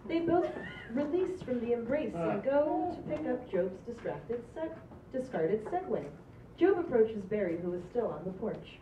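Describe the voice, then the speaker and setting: A woman's voice: on the high side, big pitch swings, normal speed, dark tone, a little hiss or hum. A woman reads the scene headings and actions of a script aloud, plain and matter-of-fact. She sounds far from the mic, with a roomy echo and muffled, lo-fi sound. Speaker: stage directions reader. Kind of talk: reading script stage directions. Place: small stage with green backdrop. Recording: distant, roomy, dull audio.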